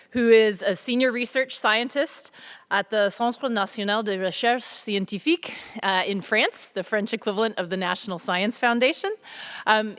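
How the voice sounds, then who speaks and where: A woman moderator introduces the next speaker in a formal, measured way, her voice at a middle pitch. The long reverb of a large hall follows each phrase. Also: a bit bright. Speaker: panel moderator.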